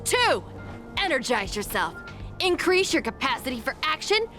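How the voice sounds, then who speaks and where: A tiny pastry creature from a video game, squeaking at a very high pitch. It preaches like a zealot, swinging its lively intonation over game music. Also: female voice, normal speed, exaggerated voice. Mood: zealous preaching. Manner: preachy, slogan-chanting. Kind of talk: video game character acting.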